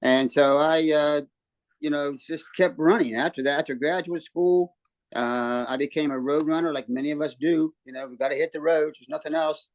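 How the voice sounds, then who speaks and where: A man in his seventies from West Virginia, a guest telling his story in a relaxed way from a hotel room. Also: fairly high pitch, normal speed, no echo. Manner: relaxed storytelling with many ums and restarts. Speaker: guest, former runner and coach. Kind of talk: casual interview chat.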